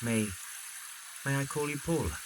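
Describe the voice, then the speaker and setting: Young male romantic lead for period audio drama, played by a man: courtly, earnest, insistent, lively in pitch. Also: moderate pace, noisy background, lightly acted. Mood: tender, pleading. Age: young adult. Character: ardent young male architect in love. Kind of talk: period radio drama acting.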